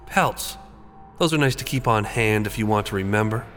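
A man voicing a calm, taunting, seductive female killer in a horror story, deliberate in manner. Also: fairly low pitch, in a large hall, soft background music, natural voice. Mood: menacing, taunting.

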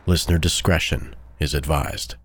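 A man's voice: very deep voice, fairly even intonation, moderate pace. A man narrating a scripted true-crime horror podcast as its host. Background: music.

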